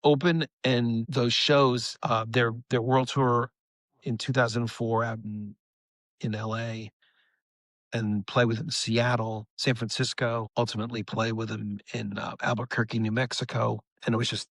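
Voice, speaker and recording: An American man in a casual interview, speaking at a middling pitch with a dry, close sound. He tells stories earnestly and in a rambling way, circling back to repeat his phrases. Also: flat delivery, normal speed, a bit bright, no echo. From United States. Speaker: rock musician, interview guest.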